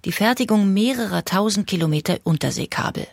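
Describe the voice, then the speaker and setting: A woman giving calm, scripted documentary narration. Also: medium pitch, a little fast, creaky, no echo, studio quality.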